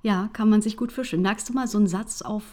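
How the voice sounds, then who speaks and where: A woman's voice: medium pitch, fast, a little mellow. A woman hosting an academic interview, asking questions and encouraging her guests. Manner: encouraging, frequent affirmations.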